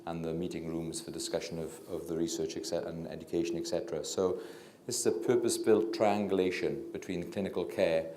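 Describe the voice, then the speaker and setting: Low-voiced Welsh man lecturing. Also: fairly even intonation, fast, dark tone, a little hiss or hum. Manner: hesitant, many fillers and restarts; low-talking. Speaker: physician and researcher giving a public talk. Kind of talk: public lecture. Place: lecture room with audience. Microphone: handheld microphone. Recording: echoey lecture room.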